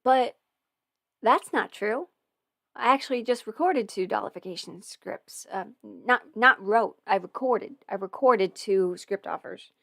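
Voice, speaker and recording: A young woman hosts a relaxed livestream, answering questions in a chatty, wandering way full of fillers and self-corrections. Her voice sits at an ordinary middle pitch for a woman. It is recorded close and dry, with no room sound around it. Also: a little slow, gravelly, no room sound. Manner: chatty and meandering, many fillers and restarts. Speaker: audio performer and channel host. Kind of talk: casual livestream Q&A.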